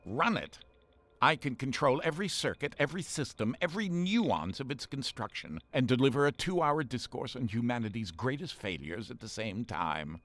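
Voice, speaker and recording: An actor playing a pompous, condescending shipboard artificial intelligence in a game, theatrical and sarcastic. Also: male voice, mid-range voice, sing-song, normal speed, fairly bright tone. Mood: haughty. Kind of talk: scripted game voice pack acting.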